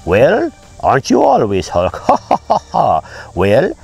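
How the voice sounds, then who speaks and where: A man voicing a friendly young male superhero in a high falsetto, playing with toys. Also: huge pitch swings, unhurried, creaky, a little mellow, breathy edge, heavily acted. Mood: earnest. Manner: cheery falsetto, warning tone. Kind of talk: toy play voice acting.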